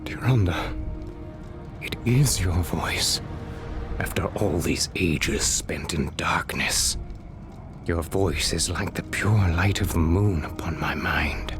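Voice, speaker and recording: An actor playing an embittered night elf freed after ages of imprisonment, brooding and dramatic, in a video game cutscene. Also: male voice, deep voice, slow. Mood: brooding, wistful. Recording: music behind.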